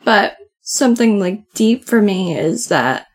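A soft-voiced woman co-hosting a podcast, talking casually and often saying "like". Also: medium pitch, animated, unhurried. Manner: chatty, many "like" fillers. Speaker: podcast co-host. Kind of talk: casual conversation.

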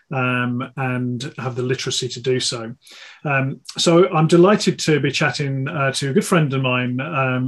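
A man with a Birmingham accent, croaky from a cold, hosting a live fireside chat in an upbeat, earnest way with frequent ums. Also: mid-range voice. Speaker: interviewer and mental health campaigner.

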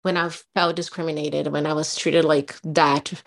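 A woman with a Brazilian Portuguese accent talks candidly on a podcast, her voice sitting low. She tells her story openly, leaning on fillers like "you know" and "like.". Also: level tone, normal speed, creaky. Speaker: podcast co-host. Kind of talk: casual chat.

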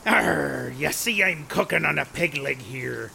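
A very gravelly pirate cook grumbles and scolds in salty pirate-speak, pitched a bit high. It is comic audio drama, with clear background noise behind him. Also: male voice, lively intonation, a little slow, heavily acted. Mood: scolding. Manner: blustering, scolding. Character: grumpy pirate seafood cook, scolding his customers. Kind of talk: comedy audio drama acting.

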